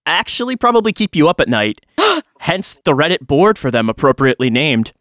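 A man with a bright, forward voice chats casually as a podcast co-host. He is animated and slangy, and the sound is dry and close. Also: a little high, fairly quick, no room sound.

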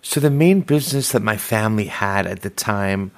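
Low-voiced man in his fifties recalling his story. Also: vocal fry. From Iran. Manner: measured, reflective storytelling with fillers. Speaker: podcast host being interviewed. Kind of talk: conversational interview.

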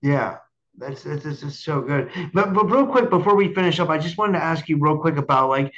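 The audio is dull and lo-fi. A man with a fairly high voice hosts a casual interview, speaking effusively and haltingly, often doubling back on his words. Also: lively intonation, fairly bright tone. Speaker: show host.

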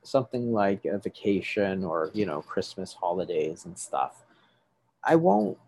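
A middle-aged male doctor explains in a husky voice whose pitch rises and falls freely. He often restarts his sentences and checks in with his listener. The sound is close and dry, with no room around it. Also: very animated, a little slow, a little mellow, dry recording. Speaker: doctor treating patients. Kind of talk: interview guest explaining.

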